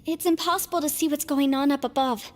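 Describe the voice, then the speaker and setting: An actress plays a bookish, anxious young woman in a video game. Her voice is high and polite, with a fretful edge. Music plays behind her. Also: steady tone, fairly quick, close to an everyday voice. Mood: rueful. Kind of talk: anime video game voice acting.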